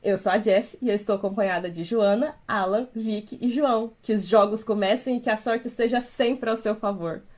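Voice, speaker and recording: Mellow, husky Brazilian woman host. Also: mid-range voice, normal speed, hardly any pauses. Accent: Brazilian Portuguese. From Minas Gerais, Brazil. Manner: thoughtful, repeats small words while explaining. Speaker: podcast host. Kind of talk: casual podcast chat.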